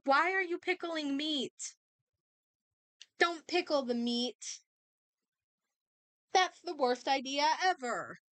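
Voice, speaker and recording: Expressive woman streamer with swooping pitch. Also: clear, resonant tone, dry recording. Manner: expressive, chatty, thinking aloud. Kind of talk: casual game livestream commentary.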